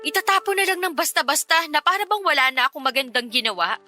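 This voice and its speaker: A woman's voice: high-pitched, expressive, quick pace, pushed and bright, dry recording, faint music behind. A woman playing a self-sacrificing young working woman in a Tagalog radio drama, earnest, emotional and firm. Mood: sad, resigned.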